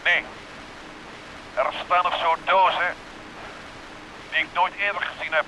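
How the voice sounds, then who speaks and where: A man's voice: a little high, fairly even intonation, measured pace, sharp tone, a little hiss or hum, low-quality recording. An actor in a vintage radio play, playing a terse male diver searching a wreck. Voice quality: terse, short replies.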